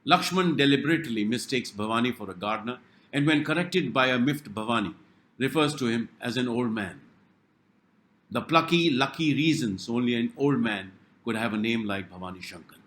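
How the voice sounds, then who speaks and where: A man narrates a scripted review in a middle-pitched voice. His delivery is dramatic and wry, with deliberate pauses between phrases. The recording has a noticeable roomy echo. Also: lively intonation, fairly bright tone.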